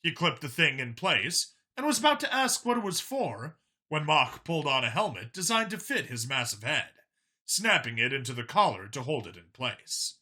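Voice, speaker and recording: A man's voice: mid-range voice, very animated, moderate pace, bright, forceful tone. A man reading scripted fiction as an audiobook narrator.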